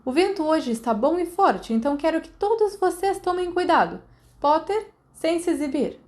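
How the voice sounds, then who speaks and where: A woman voicing a stern silver-haired witch who teaches flying, loudly barking brisk orders in an audiobook. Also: very high-pitched, big pitch swings, pitched above the performer's own voice. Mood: brisk commanding. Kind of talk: audiobook character voice.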